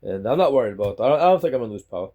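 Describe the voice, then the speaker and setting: A man's voice: mid-range voice, very animated, very fast, narrow, tinny audio. Rambling middle-aged man with a Boston accent. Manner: chatty, rambling, repeats himself. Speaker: vlogger. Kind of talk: rambling casual talk to camera. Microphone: selfie stick phone.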